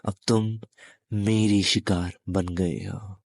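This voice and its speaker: A menacing male demon acted by a man speaks straight to the listener in a low voice. The delivery is very slow and halting, taunting and possessive, with long pauses. It is a dry, close-sounding audio roleplay. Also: vocal fry, no echo, full character voice. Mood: sly, threatening. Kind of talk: intimate audio roleplay monologue addressed to listener.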